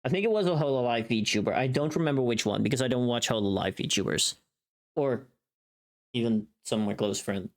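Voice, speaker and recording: A woman's voice: very deep voice, lively intonation, fairly quick. A woman livestreamer chatting casually and informally while she works, thinking aloud.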